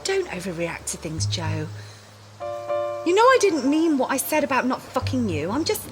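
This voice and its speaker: A woman's voice: sits high, sing-song, normal speed. A woman playing a volatile, needy, cutting girlfriend in an intimate audio drama, blunt, profane and intense. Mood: angry, insistent. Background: music.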